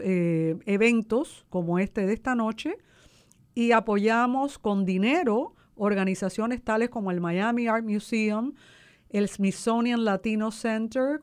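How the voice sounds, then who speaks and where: A woman who founded an arts organization, speaking as an interview guest and explaining things. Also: mid-range voice. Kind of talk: promotional interview talk, explanatory.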